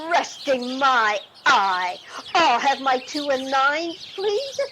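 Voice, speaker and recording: A woman playing an indignant, fussy lady customer in a radio play, complaining in a haughty, drawn-out way. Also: high voice, lively intonation, noisy background, exaggerated voice.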